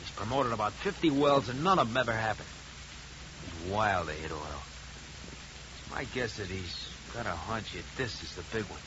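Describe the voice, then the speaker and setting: An American actor playing a shady, streetwise small-time investigator, touchy and defensive, with slangy bluster in a 1950s radio drama. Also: male voice, on the low side, expressive, moderate pace, lightly acted. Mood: conspiratorial. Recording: muffled, very hissy 1950 radio recording.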